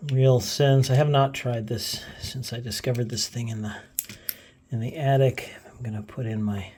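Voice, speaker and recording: A man with a relaxed, mellow voice of average pitch talks through a gadget demo. He is casual and unscripted, often pausing and restarting as he thinks aloud while fiddling with things. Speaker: gadget video host.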